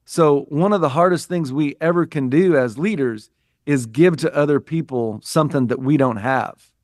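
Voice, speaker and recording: A man's voice: on the high side, normal speed, darkish tone, no room sound, clean studio sound. A man from the United States, a business coach and podcast guest, speaking earnestly and reflectively with frequent restarts. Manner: earnest, reflective, talks in restarts and repeated phrases. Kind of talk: conversational interview.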